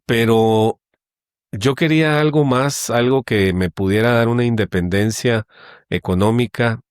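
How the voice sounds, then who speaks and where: A middle-aged man from Guatemala, a guest telling his story in a relaxed interview, pausing often with hesitations. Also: medium pitch, clear, resonant tone, dry recording, clean studio sound. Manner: measured, with many hesitations. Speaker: guest, company general manager. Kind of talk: conversational interview.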